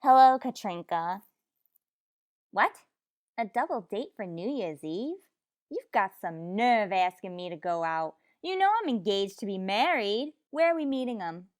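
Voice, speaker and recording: A woman's voice: mid-range voice, no echo, studio quality, natural voice. An actress playing a man-hungry, easily indignant single woman in a theatrical old-time radio comedy style.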